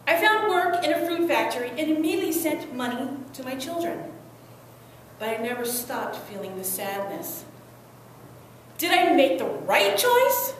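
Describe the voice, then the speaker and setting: An anguished, resolute migrant mother played by a young woman tells her story as a staged dramatic monologue. Her voice is high and swings expressively, with slow, deliberate phrasing. It comes from a distance in a very echoey room. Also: very animated. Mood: traumatized, urgent, then reflective, regretful. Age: young adult. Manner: earnest, emotive, deliberate phrasing with dramatic pauses. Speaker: student performer. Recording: very echoey room, distant mic, faint noise.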